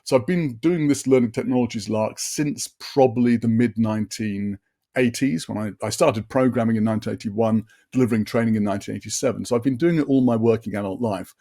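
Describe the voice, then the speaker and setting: A man in his sixties presents a live online session in a chatty, upbeat way. He stumbles and restarts often and fills gaps with little fillers. His voice sits a bit deeper than usual after a late night. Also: fairly quick, vocal fry, mellow.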